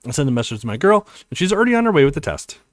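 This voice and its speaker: Animated man reading a story game aloud. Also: on the high side, very fast, dry recording, clean studio sound. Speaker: let's play host reading a visual novel aloud. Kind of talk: dramatic reading of game dialogue and narration.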